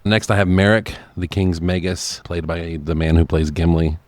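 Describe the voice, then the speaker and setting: A man's voice: sits low, fairly even intonation, moderate pace, darkish tone, dry recording. A man co-hosting a podcast, talking casually and enthusiastically about films and swearing freely. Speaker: podcast co-host. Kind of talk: casual movie chat.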